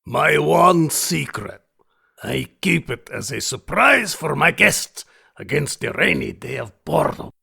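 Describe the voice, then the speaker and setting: Gravelly, grandiose alien hunting aristocrat. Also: male voice, a little high, big pitch swings, bright, forceful tone, full character voice. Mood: sly, menacing. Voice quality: gravelly, raspy. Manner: florid, theatrical, deliberate. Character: alien aristocratic hunting fanatic, obsessive, grandiose, menacing male host. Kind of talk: audio drama villain acting.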